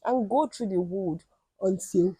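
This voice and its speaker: A woman telling a folktale in an expressive, emphatic and dramatic storytelling style. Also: medium pitch, a little slow, slightly rough, no room sound, low-quality recording.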